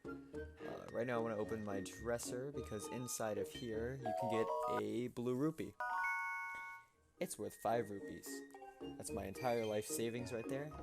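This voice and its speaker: A man's voice: medium pitch, moderate pace, gravelly. A man giving casual, joking gameplay commentary as a let's player. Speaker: video game let's player. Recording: game music behind the voice. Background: loud video game music.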